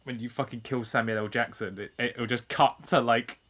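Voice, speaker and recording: British bloke rambling about games on a podcast, mid voice, swears loads. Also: male voice, medium pitch, steady tone, moderate pace, fairly bright tone. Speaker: podcast co-host. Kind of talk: casual chat about video games. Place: indoors, remote internet voice call.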